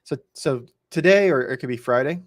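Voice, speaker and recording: A man's voice: medium pitch, lively intonation, normal speed. A man co-hosting a podcast in casual banter, asking teasing questions. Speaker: podcast co-host.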